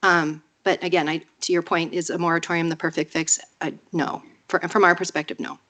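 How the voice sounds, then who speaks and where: A woman with a cold and a nasal voice, speaking in a measured, persuasive way during public comment at a podium microphone in a council chamber. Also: on the low side, flat delivery, fairly bright tone.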